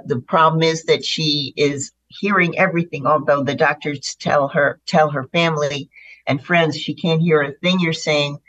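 A woman's voice: low voice, a bit bright. A woman hosting an interview, upbeat and chatty, with plenty of fillers. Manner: bubbly, with frequent fillers. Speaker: radio host. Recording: dull lo-fi sound.

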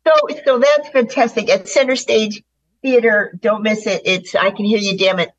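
A woman's voice: mid-range voice, fairly even intonation, moderate pace, husky. Woman host, bubbly, chatty, fillers, muffled lo-fi recording. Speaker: radio host. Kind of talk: hosting an interview. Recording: dull lo-fi sound.

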